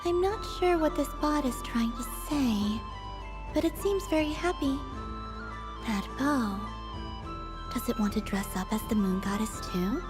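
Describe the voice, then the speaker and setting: Slow-spoken, gentle young heroine. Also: female voice, high-pitched, lively intonation. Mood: musing, kindly. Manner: hesitant, musing. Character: gentle, puzzled young woman, thoughtful heroine. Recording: game music behind the voice.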